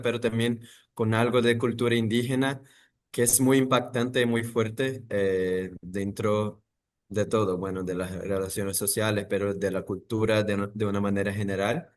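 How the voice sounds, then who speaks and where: Man speaking spanish, halting. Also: medium pitch. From Brazil. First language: Portuguese. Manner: thoughtful, halting, many fillers and restarts. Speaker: architect guest. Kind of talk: interview conversation.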